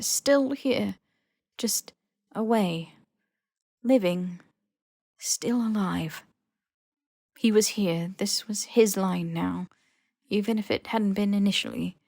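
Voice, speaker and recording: A woman reading fan fiction as expressive scripted narration, with emotive, dramatic phrasing. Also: medium pitch, slow pace, creaky, long pauses, no room sound, studio quality. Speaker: podfic reader.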